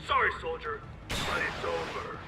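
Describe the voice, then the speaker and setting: A man playing a hostile, menacing mercenary in a video game, heard from a distance through loud noise. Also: a little high, completely flat delivery, moderate pace, over loud music, natural voice. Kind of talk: video game dramatic acting.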